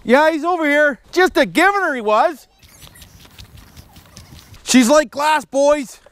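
A man's voice: very high voice, lively intonation, slow pace, a bit bright. A man with a rural Ontario Canadian accent vlogging casually outdoors, with folksy, repetitive slangy tag questions. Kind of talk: casual vlog chat to camera. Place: outdoors at a campground, trail and lake. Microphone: handheld action camera. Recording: windy, noisy outdoor camera audio.

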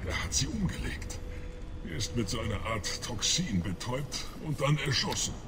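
An actor playing a bitter, plague-sick alien in a video game, speaking gravely and weakly in measured, formal words. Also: male voice, mid-range voice, very animated, noisy background, natural voice. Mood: wary. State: sick, coughing, weakened. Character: dying plague-sick male alien, bitter, suspicious of humans. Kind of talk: video game dialogue acting. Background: game music.

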